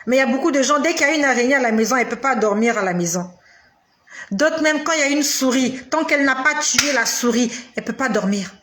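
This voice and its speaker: Woman preacher in her forties, fairly high voice, slow emphatic delivery built on repetition and dramatic pauses. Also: lively intonation, fairly bright tone. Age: 40s. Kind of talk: sermon addressed to the listener.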